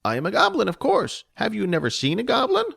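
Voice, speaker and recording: A man's voice: high-pitched, huge pitch swings, quick pace, gravelly, fairly bright tone, voice pushed up, heavily acted. A man voicing a timid, fearful little female goblin servant who pleads in formal, old-fashioned speech. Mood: earnest. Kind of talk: audiobook character voice.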